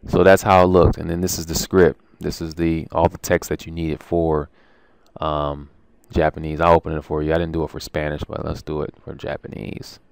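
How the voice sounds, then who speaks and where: A man's voice: extremely low pitch, level tone, normal speed, gravelly, a little mellow, clean tone, no echo. A man casually walking viewers through his language course materials, informal and chatty with lots of ums and restarts. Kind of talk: casual screen-share walkthrough.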